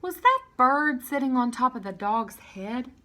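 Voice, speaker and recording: A woman's voice: sits high, very animated, a little slow, sharp tone, heavily acted. A woman voicing an eager, chatty little girl in a storybook, with childish country phrasing.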